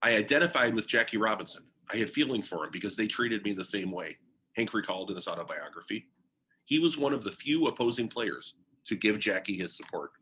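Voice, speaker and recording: A man's voice: on the low side, expressive, a little fast, sharp tone, slight background noise, low-quality recording. A man reading a biography aloud as narration, in the style of a book for young listeners.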